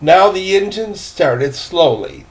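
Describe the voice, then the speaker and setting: Slow-reading grandpa with an expressive, higher voice. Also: male voice. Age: older. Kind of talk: homemade storybook narration with casual asides.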